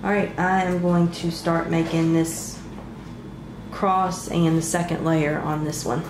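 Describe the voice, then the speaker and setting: A woman in her thirties, congested from a cold, casually showing her resin crafts at a home table with a relaxed, rambling manner full of ums. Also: deep voice, a little slow, echoey room, noisy background. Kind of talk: casual show-and-tell narration.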